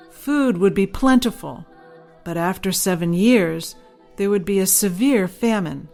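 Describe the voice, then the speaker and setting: A woman reads her own children's story as scripted audiobook narration. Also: mid-range voice.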